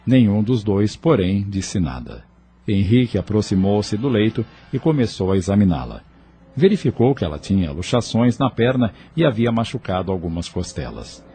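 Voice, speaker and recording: A man's voice: lowish voice, very animated, normal speed, warm tone. A man narrating an audio novel in a scripted, dramatic storytelling style, with faint ambient noise.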